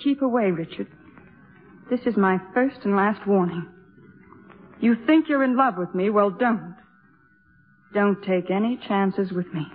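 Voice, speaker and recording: An actress playing a cool, troubled young woman student, measured and cutting, in 1950s radio drama style. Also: mid-range voice, close to an everyday voice. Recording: tinny, narrow old radio broadcast.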